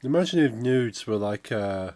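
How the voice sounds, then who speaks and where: A man, croaky from a cold, chatting casually on a game dev stream and reading out chat. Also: mid-range voice, animated, normal speed, darkish tone, no room sound. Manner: rambling, chatty, reads out chat messages. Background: funky music playing.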